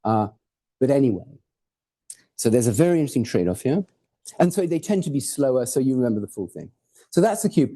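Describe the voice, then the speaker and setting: A man lectures to a hall audience in a very dark, mellow voice. His delivery is loose and conversational, full of asides, jokes and the occasional curse. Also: mid-range voice, big pitch swings, a little fast, long pauses. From born in Hawaii, raised in Portugal and England. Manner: informal, digressive, jokey, swears for effect. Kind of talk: academic talk with slides.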